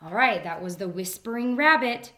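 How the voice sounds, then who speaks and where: A woman reading a children's picture book aloud in a gentle storytelling manner, sometimes dropping to a whisper. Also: medium pitch, animated, normal speed. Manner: gentle storytelling, drops to whispers for the quiet parts.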